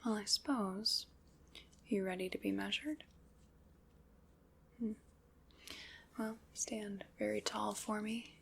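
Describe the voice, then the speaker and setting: A woman playing an ancient undead woman who commands a skeleton army, in a soft, dry, teasing whisper for an ASMR roleplay. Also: medium pitch, fairly even intonation, slow, vocal fry, pauses often, slight background noise.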